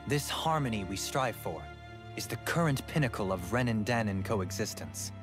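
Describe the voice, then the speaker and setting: An actor voicing a courteous, self-assured lord in a video game, speaking formally and eloquently in measured phrases. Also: male voice, on the low side, animated, lightly acted. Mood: smooth, persuasive. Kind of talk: video game cutscene acting. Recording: loud music behind the voice. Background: music.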